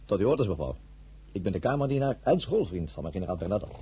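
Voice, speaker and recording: Radio drama valet: formal ex-soldier, quick, low and mellow. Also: male voice, very animated, a little hiss or hum. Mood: dutiful. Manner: formal, military announcing. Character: Fernand, dutiful, brisk valet and old army comrade.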